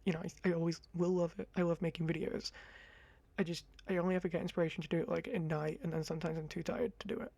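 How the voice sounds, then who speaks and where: A young man in his twenties with a high-pitched voice, rambling casually to camera in a chatty, self-deprecating ASMR talk on a close mic. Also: fairly even intonation, fast talker, gravelly, warm tone. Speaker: ASMR creator. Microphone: close condenser mic.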